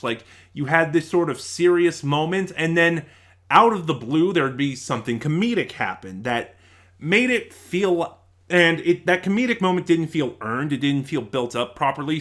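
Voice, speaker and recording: A man's voice: fairly high pitch, a bit bright. A man giving a casual, unscripted review, thinking aloud and correcting himself as he rambles along. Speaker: YouTube audio drama reviewer.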